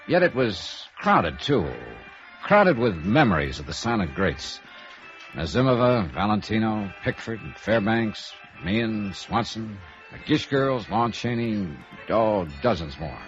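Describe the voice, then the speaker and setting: Low-voiced, wry, animated radio noir detective. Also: male voice, a little slow. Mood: brooding. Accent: American. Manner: conversational, wry, inquisitive. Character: wry, probing middle-aged male freelance insurance investigator. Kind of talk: 1950s radio noir acting and narration. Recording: dull vintage radio recording, music behind.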